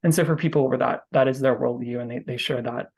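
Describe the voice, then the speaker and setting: Husky-voiced man in an interview. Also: medium pitch, fairly even intonation, fast talker, darkish tone. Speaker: interview guest, astrologer. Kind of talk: conversational interview answers.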